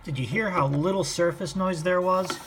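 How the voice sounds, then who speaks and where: A man hosting a casual hobby demonstration in his living room, explaining things in a measured, hesitant way. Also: high voice, loud music behind the voice. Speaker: host, record hobbyist. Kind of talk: casual demonstration of vintage records. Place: indoors, living room at home.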